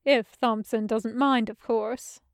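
A woman playing a polite, bookish male angel in an audio drama, fussy and reasonable, with a measured, musing, precise way of talking. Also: a little high, fairly even intonation, no echo, clean studio sound. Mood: businesslike, polite. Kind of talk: audio fiction acting.

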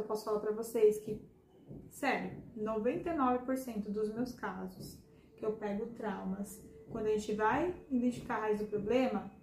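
A hoarse woman with a slightly high voice hosts a casual podcast conversation and speaks earnestly straight to her listeners. The echoey room carries a faint background hiss. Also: a little mellow. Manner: earnest, addresses listeners directly. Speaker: podcast host and hypnotherapist.

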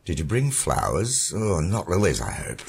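A sardonic dead husband taunts his widow, as a man voices him. His very deep voice swings widely in pitch and grinds with gravel. A breathy, husky edge hangs under the warm, controlled delivery. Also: huge pitch swings, normal speed, heavily acted. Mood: mocking. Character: mocking dead husband, middle-aged man, cynical.

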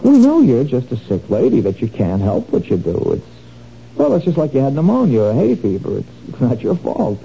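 A man's voice: mid-range voice, huge pitch swings, normal speed, vocal fry, warm tone. An actor playing a sly, menacing male crook who wheedles and threatens, in a 1970s radio drama. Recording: dull lo-fi old radio recording, faint noise.

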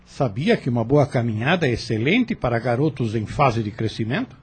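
An actor playing a stern, authoritative landowner in a radio drama, curt and commanding. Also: male voice, medium pitch, sing-song, fairly quick, breathy edge.

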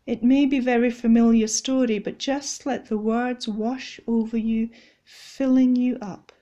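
Slow, mellow woman guiding a meditation. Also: on the high side, fairly even intonation, dull audio. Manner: gentle, measured, instructional. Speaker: guided meditation leader.

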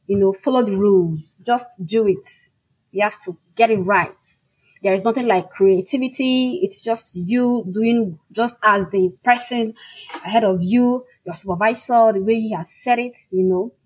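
A woman's voice: mid-range voice, a little mellow, slightly rough, low-quality recording. A woman from Nigeria, a native Igbo speaker with a Nigerian accent, answering as a writer in an emphatic, conversational way. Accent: Nigerian Igbo-language. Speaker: fiction writer being interviewed. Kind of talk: conversational, reflective interview answers.

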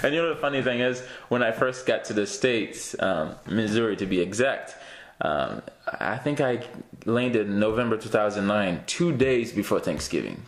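A man's voice: fairly low pitch, expressive, moderate pace, roomy sound. A young man from Haiti, a guest in a casual interview, talking easily with many fillers and frequent laughs.

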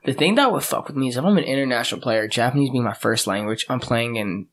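Fast-talking man co-hosting casually. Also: mid-range voice, animated. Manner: quick, swearing, joking. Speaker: podcast co-host. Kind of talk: casual chat.

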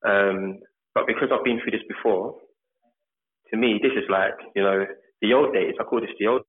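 A man's voice: lowish voice, moderate pace. London man on a tinny call line. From England. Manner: relaxed, talks in long run-on chains with fillers. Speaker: mortgage advisor, guest. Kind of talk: casual interview answers.

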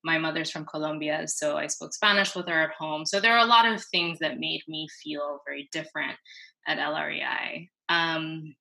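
A woman, talking as an interview guest. Her voice sits fairly low, with a gravelly fry and a bright, forward edge. She talks it through reflectively, pausing and restarting as she goes. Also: fairly even intonation, poor audio. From New York City. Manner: thoughtful, halting, with many fillers and restarts. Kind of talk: casual reflective conversation.

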